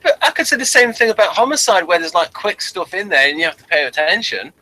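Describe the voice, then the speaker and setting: A man hosting a casual review chat, talking in a halting way and repeating words and phrases. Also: sits high, big pitch swings, sharp tone, poor audio. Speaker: host of a TV review show. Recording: faint background noise, room ambience.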